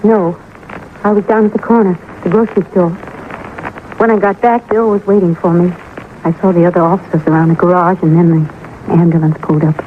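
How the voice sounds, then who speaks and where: Actress as a subdued young widow, tinny radio recording. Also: female voice, fairly low pitch, steady tone, lightly acted. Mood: quietly composed. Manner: measured, hesitant, restrained. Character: subdued young widowed mother, sad but composed. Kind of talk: 1950s radio drama acting. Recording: noisy narrow-band old radio recording.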